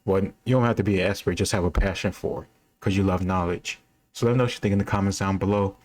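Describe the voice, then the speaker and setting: Man, deep voice, monotone, casual video host, opinionated, thinking aloud. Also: fast. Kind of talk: casual off-the-cuff commentary.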